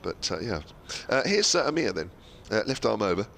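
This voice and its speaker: A man's voice: on the low side, very animated, a little fast, creaky, fairly bright tone, slight background noise. A man commentating cricket on the radio with a cold, losing his voice, chatty and full of fillers and tag questions.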